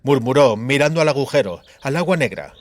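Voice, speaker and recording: A man's voice: medium pitch, animated, brisk. A man reading scripted fantasy narration as an audiobook narrator.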